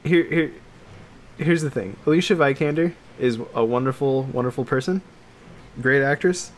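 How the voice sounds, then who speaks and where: A man's voice: mid-range voice, moderate pace. A man co-hosting a casual podcast chat, rambling and hedging, with "like" peppered through his sentences. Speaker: podcast co-host. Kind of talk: casual chat about games and films.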